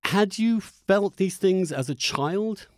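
A man hosting a conversational interview, speaking in a halting way with restarted phrases and emphasis on key words. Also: sits high, expressive, dry recording, studio quality. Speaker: host interviewing guests. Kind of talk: conversational podcast introduction and interview.

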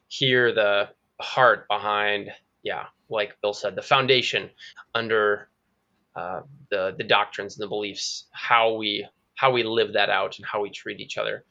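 A male podcast host speaks in a bright, forward voice, leading the talk with friendly prompts and frequent filler words. His audio sounds slightly muffled and lo-fi. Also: fairly low pitch, creaky. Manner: friendly, uses many fillers and affirmations. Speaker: podcast host, church fellow. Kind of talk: casual interview hosting.

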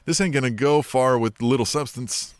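A man's voice: medium pitch, normal speed, no echo, studio quality. A man co-hosting a wrestling podcast, rambling and speculating with lots of fillers. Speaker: podcast co-host. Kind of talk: casual wrestling chat.

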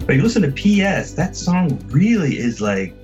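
A man's voice: fairly high pitch, big pitch swings, moderate pace, lo-fi sound, music in the background. A man in a casual album discussion as a podcast host, talking in a reflective, hesitant, conversational way.

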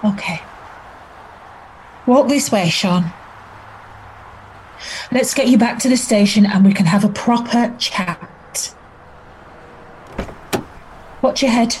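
An actress playing a calm, persuasive detective inspector in an audio drama. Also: female voice, on the high side, slow pace, pauses often, slight background noise. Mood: gently firm.

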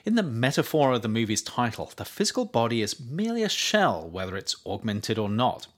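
A man reading his own nonfiction essay as scripted narration, in measured phrases with emphatic stresses. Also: medium pitch, a bit bright, husky. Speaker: author reading their own book.

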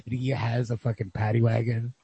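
A man with a husky voice thinking aloud in a casual, rambling literary discussion as a podcast co-host. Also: medium pitch, level tone, brisk, dark tone, a little hiss or hum. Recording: lo-fi podcast audio.

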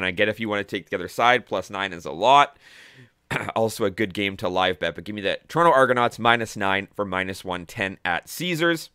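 A hoarse young man talks through his sports betting picks in a loose, chatty way. His voice sits low and sounds slightly rough. The recording is close and dry, with no room sound. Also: bright, forceful tone, no echo. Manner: conversational, with frequent fillers and self-corrections. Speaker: sports betting show host. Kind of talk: casual solo sports picks talk.

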